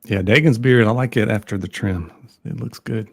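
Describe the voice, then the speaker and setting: A man with a Southern American accent, chatting casually on a livestream in a calm, soothing way. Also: lowish voice, normal speed, vocal fry. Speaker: guest on a livestream. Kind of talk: casual chat.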